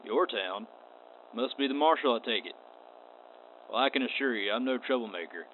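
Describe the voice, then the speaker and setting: A man's voice: mid-range voice, animated, moderate pace, lightly acted. A man playing a courteous, unflappable wandering space cowboy in a radio play, with a laconic drawl. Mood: courteous, bargaining. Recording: tinny band-limited audio with clear noise.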